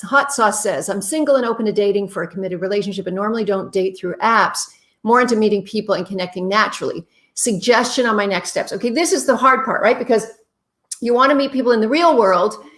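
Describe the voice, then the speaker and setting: Warm-voiced woman giving frank relationship advice. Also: mid-range voice. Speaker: relationship advice show host. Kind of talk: live-stream Q&A, reading viewer questions.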